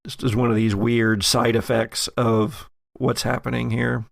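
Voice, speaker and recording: A man narrating an improvised horror game as game master, deliberate and halting as he searches for words. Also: medium pitch, little pitch movement, vocal fry, dry recording.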